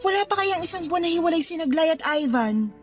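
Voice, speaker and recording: A woman's voice: very high voice, expressive, quick pace, soft background music. An actress playing an exasperated young woman with a Filipino accent in a radio drama, scolding her friend in sarcastic slang.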